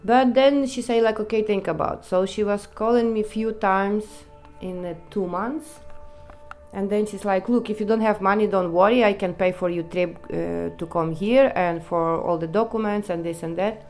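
A woman from Ukraine with a Ukrainian accent, telling her own story in halting, broken English with many pauses. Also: medium pitch, fairly even intonation, a little mellow, soft background music. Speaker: interviewee. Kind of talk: personal testimony in non-native English.

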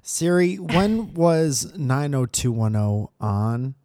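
A man's voice: on the high side, expressive, slow pace, mellow, dry recording. A man co-hosting a podcast while sick with a cold, teasing and laughing often in casual chat. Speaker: podcast co-host.

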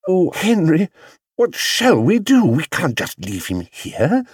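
A warm-hearted, firm English housewife from a storybook, acted by a man. Her voice is high and swoops dramatically. She exclaims and appeals until she gets her way. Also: huge pitch swings, normal speed, slightly rough, no echo, studio quality, heavily acted. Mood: appealing. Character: warm-hearted, firm, persuasive middle-class English wife.

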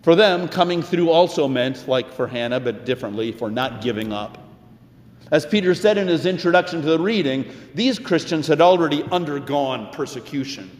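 A male pastor delivering a sermon in an earnest, emphatic way, with deliberate pauses and repeated phrases. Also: on the high side, expressive, darkish tone. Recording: echoey, reverberant church sound.

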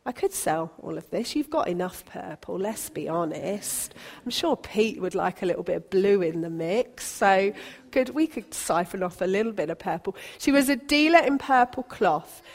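A woman's voice: medium pitch, animated, moderate pace, creaky. Encouraging woman preaching. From Britain. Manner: conversational, encouraging, self-deprecating humour. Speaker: pastor. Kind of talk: sermon.

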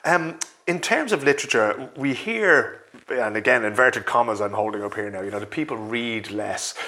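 An Irish man hosts a conversational interview in a medium-pitched voice. He speaks with an Irish accent, putting questions and remarks to his guest in an informal way. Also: expressive, moderate pace, bright, forceful tone, low-quality recording. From Ireland. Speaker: interviewer, host.

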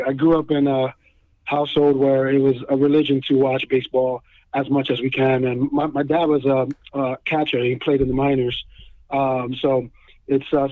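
A man's voice: medium pitch, dry recording. A man from New York with a Dominican family background, a podcast guest talking casually with many fillers and restarts. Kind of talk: casual interview chat. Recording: tinny phone-like line.

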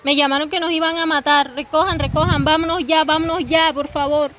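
A woman acting a highish, anxious village schoolteacher. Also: level tone, fairly quick, fairly bright tone, a little hiss or hum. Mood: panicked. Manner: anxious, earnest. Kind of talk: amateur radio drama acting.

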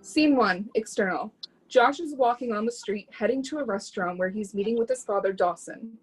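A woman's voice: fairly high pitch, normal speed, soft background music, poor audio. A woman reading stage directions as scripted narration for a readers theatre drama.

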